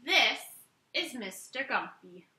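A woman's voice: sits high, sing-song, slow, pushed and bright. A woman in her thirties reading a children's story aloud expressively, giving play-along instructions to young listeners. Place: living room, indoors. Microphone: camera a few feet away. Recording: roomy living room echo.

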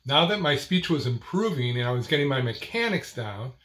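A man in his sixties hosting a video series on stuttering, speaking to camera in slow, deliberate phrases with pauses and the odd repeated word. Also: mid-range voice, very animated, fairly bright tone. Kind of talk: personal talk to camera. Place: small indoor room at home.

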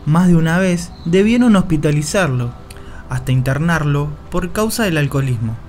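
A solemn man narrates in slow, measured sentences, his voice slightly raised in pitch. Faint music plays under the narration, which sounds studio-recorded rather than on location. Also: animated, a little mellow. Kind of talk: scripted dramatic narration.

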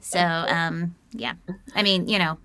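A woman voicing a laid-back furry puppet who chats in a halting, offhand, deadpan way. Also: lowish voice, gravelly, no echo, exaggerated voice. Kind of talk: improvised puppet character chat.

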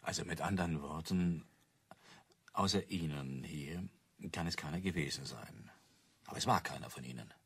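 Halting amateur sleuth, extremely deep voice. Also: male voice, a bit bright, husky, heavily acted. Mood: sceptical questioning. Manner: halting, questioning. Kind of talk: German crime radio play acting.

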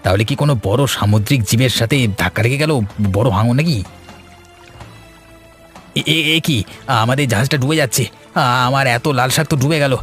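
A man's voice: mid-range voice, moderate pace, pauses often, a bit bright, faint music behind, natural voice. A man voicing a seafaring merchant in a cartoon story, thinking aloud in a dramatic way. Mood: alarmed. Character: seafaring male merchant, hopeful and easily alarmed.

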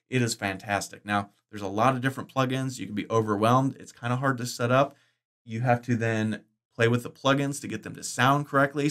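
A middle-aged man vlogging about course-making software, chatty and enthusiastic, his voice bass-boosted with the breaths filtered out. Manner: chatty, enthusiastic, loose with fillers and restarts. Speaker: online course creator. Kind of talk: casual explanatory vlog. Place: indoors, green-screen studio.

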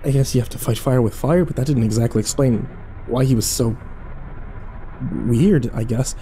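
A man playing an overwhelmed, foul-mouthed young man who narrates his ordeal haltingly in a horror audio drama. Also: mid-range voice, mellow, a little hiss or hum, close to an everyday voice. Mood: puzzled. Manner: halting, self-interrupting, swearing, incredulous.

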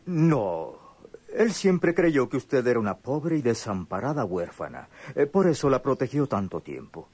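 A fussy, formal lawyer in a radio soap. His voice is husky and swings widely in pitch. He picks his words with pompous, hesitant precision. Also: big pitch swings, measured pace, darkish tone. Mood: explanatory, courteous. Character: pedantic, formal male lawyer, courteous and fussy. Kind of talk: radionovela acting.

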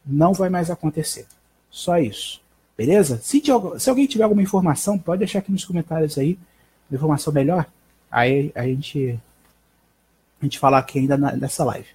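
A Brazilian man in his thirties with a slightly high, husky voice and a soft, mellow tone. He presents a news roundup live from home on a headset mic, informally and haltingly, often checking in with short tags. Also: lively intonation, poor audio. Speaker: live stream host. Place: small bedroom at home. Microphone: headset microphone.

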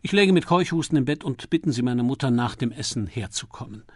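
A man's voice: mid-range voice, lively intonation, fairly quick, breathy edge, no echo, clean studio sound. A man voicing an impulsive, flippant English lord in an audiobook, breezy and exclamatory. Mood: agitated, pleading. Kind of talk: audiobook character voice.

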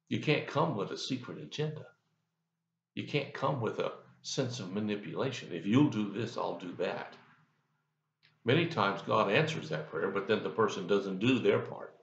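A man's voice: fairly low pitch, lively intonation, moderate pace, vocal fry, roomy sound, muffled recording. An older man giving a folksy, conversational devotional as a pastor, asking the listener questions, recorded on a webcam in his office. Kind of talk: devotional sermon. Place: office, indoors, seated in leather chair.

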